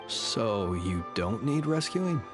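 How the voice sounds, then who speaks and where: A man voicing a courtly, solemn keeper of ghosts in a video game, formal, measured and eloquent. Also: lowish voice, very animated, over music. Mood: calm assurance. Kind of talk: dramatic video game dialogue.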